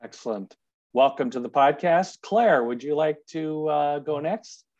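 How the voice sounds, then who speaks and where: A man hosting a podcast, introducing his guests in a measured, welcoming way. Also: on the high side, darkish tone, muffled recording. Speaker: podcast host.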